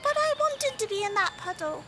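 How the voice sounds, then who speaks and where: Squeaky, gloomy little girl mouse. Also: very animated, a little fast, pushed and bright, faint music behind, full character voice. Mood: gloomy, stubborn. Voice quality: tiny, squeaky. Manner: dramatic, sulky. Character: melodramatic, gloomy young girl field mouse. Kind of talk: audio drama acting.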